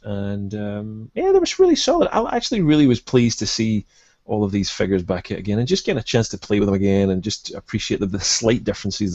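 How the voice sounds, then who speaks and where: A man's voice: lowish voice, creaky, darkish tone, no echo. A man co-hosting a collecting podcast in casual chat, agreeable and enthusiastic about details. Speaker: co-host of a toy collecting podcast. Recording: dull, muffled lo-fi audio.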